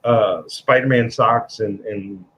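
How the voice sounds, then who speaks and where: A man's voice: on the low side, takes it slowly, a bit bright. A man with a New York accent hosting a casual comics livestream, rambling with frequent restarts. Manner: rambling, restarts often, laughs between remarks. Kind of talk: casual chat.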